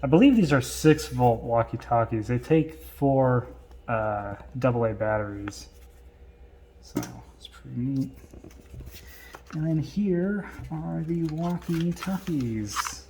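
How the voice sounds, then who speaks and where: A man talks casually through an unboxing in a halting, off-the-cuff way. His voice sits at an average pitch. There is faint noise with small clicks behind him. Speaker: vlogger doing an unboxing. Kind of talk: casual unscripted product unboxing commentary.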